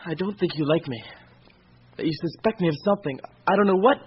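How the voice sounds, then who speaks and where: An actor playing a loyal, guarded fifteen-year-old schoolboy in a radio drama, with a terse, touchy manner. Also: male voice, high-pitched, quick pace, natural voice. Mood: defensive. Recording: dull, noisy old radio recording.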